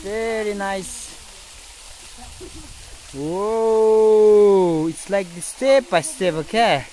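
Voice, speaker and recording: A young man from India vlogging on a phone held at arm's length while hiking a forest trail to a waterfall, tired and thirsty, with excited exclamations and halting simple phrases. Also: high voice, slight background noise. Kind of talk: casual vlog narration while hiking.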